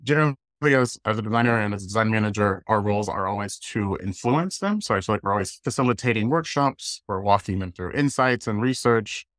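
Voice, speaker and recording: A man's voice: fairly low pitch, lively intonation, brisk, few pauses, a bit bright, no room sound, studio quality. A man in his thirties with an American accent, giving an informal online presentation in a casual, conversational way. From Washington, D.C., United States. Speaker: guest speaker, design leader. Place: indoors, plain room on a video call.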